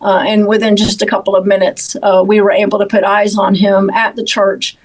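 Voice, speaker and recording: Woman, law enforcement official, interview answers, halting and measured, repeated words, medium pitch. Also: flat delivery, poor audio. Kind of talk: interview answers about an investigation.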